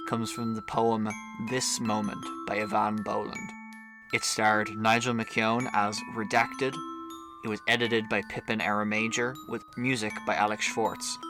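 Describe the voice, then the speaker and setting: A man reading scripted podcast trailer narration and credits as an announcer. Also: lowish voice.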